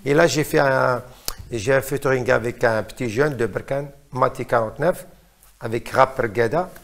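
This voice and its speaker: A middle-aged man from Morocco speaks in a mid-pitched, gravelly voice. He is a singer recounting his career in a relaxed interview, halting and repeating words while he hunts for the right phrase. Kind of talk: casual interview.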